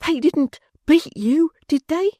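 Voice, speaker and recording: A woman's voice: high voice, animated, slow, dark tone, dry recording, clean studio sound, voice pushed up. A woman voicing a little, weepy, tender-hearted male church clerk who whimpers and pleads. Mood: pleading.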